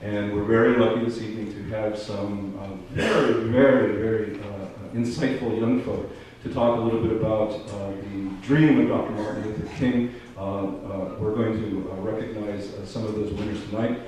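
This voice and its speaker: A man hosting a ceremony, giving a welcome speech with a halting, hesitant delivery. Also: lowish voice, fairly even intonation, a little mellow. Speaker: event host. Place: theater stage. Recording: distant, very echoey theater, faint noise.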